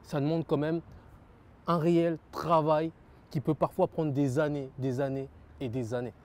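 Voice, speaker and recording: Young man, french, dark mellow tone, earnest, repeats himself, lots of fillers. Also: fairly high pitch, level tone, normal speed. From France. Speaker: rap label founder. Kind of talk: interview answers.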